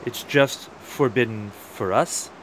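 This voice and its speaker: A man's voice: mid-range voice, takes it slowly, noisy background, natural voice. A man playing a persistent, questioning outsider at a border wall in a one-act audio play, challenging whoever he talks to. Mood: probing.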